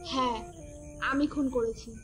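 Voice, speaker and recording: A woman playing a stubborn, defiant young village wife in an animated story, with a cool, unyielding manner. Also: sits high, unhurried, faint music behind. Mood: flat defiance. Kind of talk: dramatised storybook animation dialogue.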